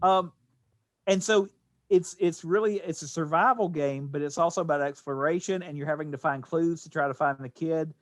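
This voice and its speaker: Higher-voiced man chatting casually, dry sound. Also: normal speed, dry recording. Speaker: podcast co-host. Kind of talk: casual podcast chat about games and films.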